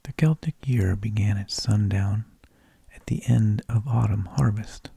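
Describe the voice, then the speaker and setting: A man narrating in a soft, breathy ASMR whisper, with slow, deliberate phrasing, close to the microphone. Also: on the low side, no echo. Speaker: ASMR host.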